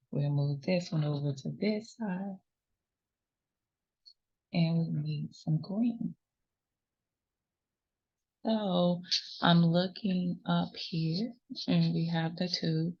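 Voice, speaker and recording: A woman's voice: on the low side, takes it slowly, long pauses, a little mellow, dull audio. A woman hosting a crochet tutorial, talking patiently and reassuringly, counting stitches aloud, a little sniffly from a runny nose. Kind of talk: step-by-step crafting instruction.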